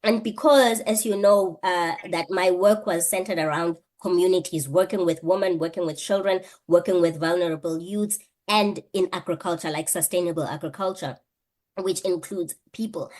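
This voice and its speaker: A woman's voice: lowish voice, moderate pace, darkish tone. A woman, answering an interview question earnestly, thinking aloud with rhetorical questions and frequent restarts. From South Africa. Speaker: guest, PhD candidate and lecturer.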